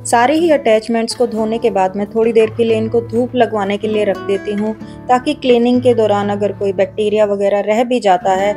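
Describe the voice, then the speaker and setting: A woman with an Indian accent giving a calm, step-by-step voice-over for a home cleaning video. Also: mid-range voice, fairly quick, hardly any pauses. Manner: explains each step in a steady how-to tone. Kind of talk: calm scripted voice-over narration. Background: background music.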